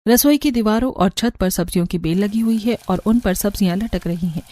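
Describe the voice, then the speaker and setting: A woman's voice: medium pitch, a little fast, dark tone. A woman narrator reading a scripted story for a cartoon.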